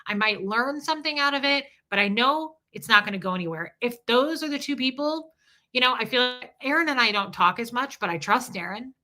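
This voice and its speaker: Woman, 40s, livestream host; bright, slightly high voice, candid and profane. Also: lively intonation, brisk. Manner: candid and profane, reading viewer comments aloud. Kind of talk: casual livestream chat reading super chats.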